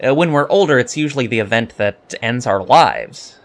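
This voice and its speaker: A man's voice: medium pitch, animated, normal speed, natural voice. A man playing an earnest young alien cadet in an audio drama, speaking politely and precisely with hesitant ums. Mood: frank, earnest. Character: earnest young male alien Starfleet cadet, eager and scholarly. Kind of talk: audio drama interview acting.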